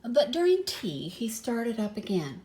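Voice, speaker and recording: An older woman reads a children's story aloud. Her voice is soft and mellow, and she takes her time, adding expression and small conversational asides. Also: a little high, wildly swooping pitch, unhurried, slight background noise. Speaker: storybook reader.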